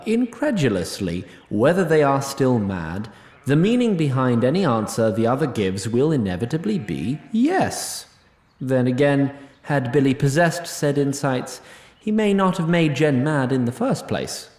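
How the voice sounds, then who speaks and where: A roomy-sounding actor as a male storyteller narrates a fantasy audio drama. He is formal, precise and dryly pedantic, with pitch that swings widely for emphasis. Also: darkish tone. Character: wry, pedantic, faintly exasperated storyteller of a fantasy tale.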